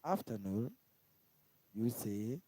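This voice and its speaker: A man from Kenya with a Kenyan accent, giving a casual language lesson and slowly repeating each word. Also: lowish voice, sing-song, warm tone, slight background noise. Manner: slow, repetitive, spells out each word twice. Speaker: language teacher. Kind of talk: informal live-stream language lesson.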